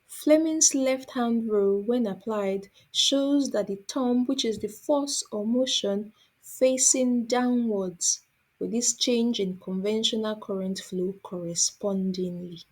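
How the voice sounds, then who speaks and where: Woman science teacher, higher voice with a slightly husky edge, deliberate scripted explainer delivery. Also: darkish tone, low-quality recording. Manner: deliberate, explanatory, poses questions then answers them. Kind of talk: scripted educational narration.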